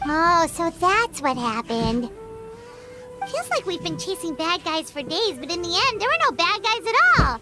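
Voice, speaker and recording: A cute, childlike fairy sidekick chatters in a very high squeak. The pitch leaps about wildly, and game music plays clearly behind. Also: female voice, very animated, normal speed. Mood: chatty, surprised. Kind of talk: fan dub of a fantasy game.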